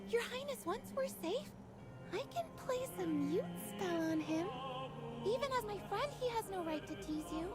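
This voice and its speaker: An actress playing a gentle, loyal young mage attendant in a fantasy game, polite and earnest. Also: female voice, very high voice, lively intonation, normal speed. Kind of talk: dramatic game voice acting. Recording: game music playing behind.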